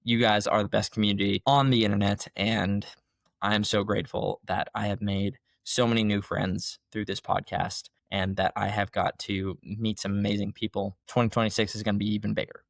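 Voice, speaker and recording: A young man hosts his podcast alone, talking in an excited, rambling way about things he loves. His voice is low, gravelly and a bit hoarse, as if he is still recovering from being sick. The sound is dry and close. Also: moderate pace, dry recording. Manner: enthusiastic, rambling, fan gushing. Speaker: podcast host. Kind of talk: casual solo podcast chat.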